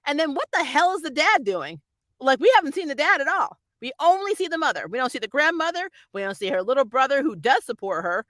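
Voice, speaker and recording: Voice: sits high, sing-song, quick pace, a bit bright, dry recording. Casual, rambling reviewer with a dry, close sound. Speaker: YouTube reviewer reading and answering viewer comments. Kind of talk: casual chat, off-the-cuff fan review.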